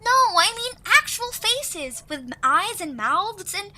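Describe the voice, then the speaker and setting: Woman as excitable, clever little creature, very high voice, animated delivery, music underneath. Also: takes it slowly, very noisy, heavily acted. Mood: uneasy. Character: young female cartoon creature, analytical, excitable problem-solver. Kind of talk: amateur audio drama acting.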